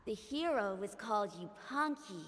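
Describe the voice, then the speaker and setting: A woman's voice: mid-range voice, lively intonation, moderate pace, close to an everyday voice. A woman voicing a cheeky child who shares village legends in a video game, in long reverb. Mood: storytelling.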